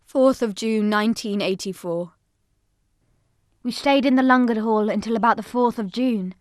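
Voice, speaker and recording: A woman narrates an audio drama in the first person. Her voice is on the high side, and her scripted delivery is solemn and measured. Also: a little mellow, no room sound, clean studio sound.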